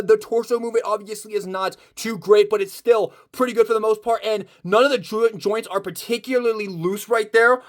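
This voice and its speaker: High-voiced enthusiastic man reviewing toys. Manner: gushing, enthusiastic fan talk. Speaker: toy reviewer. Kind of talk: casual unboxing and review chat.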